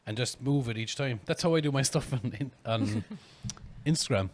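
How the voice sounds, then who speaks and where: A woman's voice: very deep voice, moderate pace. A middle-aged Irish woman co-hosting a podcast, casual and crude, telling jokey stories. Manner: crude, joking storyteller. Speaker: podcast co-host. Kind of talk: casual chat.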